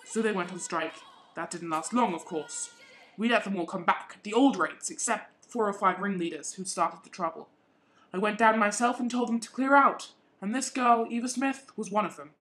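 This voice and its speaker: A woman's voice: medium pitch, moderate pace, fairly bright tone, slight background noise, lightly acted. A woman playing a pompous, dismissive middle-aged businessman father in an amateur radio play. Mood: self-justifying. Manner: pompous, indignant.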